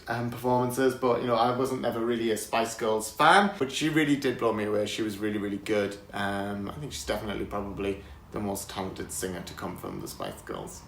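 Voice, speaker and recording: Chatty man with a croaky voice. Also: lowish voice, a bit bright, reverberant. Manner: chatty and enthusiastic, laughs often. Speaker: vlogger. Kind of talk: casual chat to camera. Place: outdoors on a windy day.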